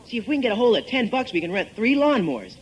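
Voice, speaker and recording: An eager American schoolboy in a vintage radio comedy, with a very high voice that bounces around. He is earnest and slangy, always protesting and pleading his case. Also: very animated, fast talker. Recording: old-time radio recording from tape.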